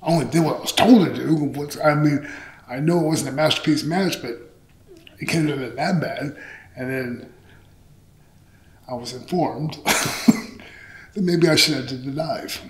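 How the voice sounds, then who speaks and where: A man's voice: a little high, unhurried, long pauses. A middle-aged man telling stories casually in an interview, candid and profane, acting out bits of dialogue. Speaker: interview guest, pro wrestler. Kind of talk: animated casual storytelling. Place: small indoor interview set on a couch. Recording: roomy echo.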